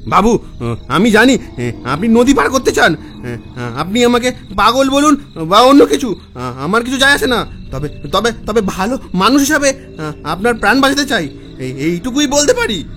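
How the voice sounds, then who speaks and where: High, frantic crazed villager. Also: male voice, very animated, fairly bright tone, soft background music, exaggerated voice. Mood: urgent warning. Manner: halting, gasping, repeating himself, wild cackles. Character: frantic crazed village man giving dire warnings. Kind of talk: horror audio drama acting.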